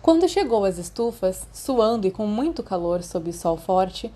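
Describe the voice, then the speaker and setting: Woman narrating a story, mellow voice. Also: medium pitch, very animated, moderate pace. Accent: Brazilian. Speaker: podfic narrator. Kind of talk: expressive audiobook narration of fan fiction.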